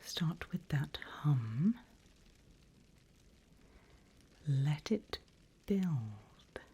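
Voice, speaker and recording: A woman voicing a seasoned, smug and teasing demon mentor in a breathy, theatrical ASMR whisper. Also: low-pitched, very animated, warm tone, heavily acted. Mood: instructive, menacing. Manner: slow, drawn-out, theatrical.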